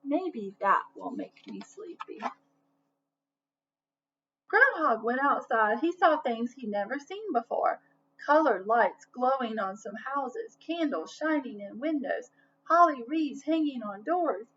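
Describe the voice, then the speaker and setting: A slightly dull recording of a woman reading aloud to children. Her voice is high and she takes her time, narrating expressively as a storyteller. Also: a little slow. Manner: expressive, asks listeners questions. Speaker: storybook reader. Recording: dull lo-fi sound, faint noise.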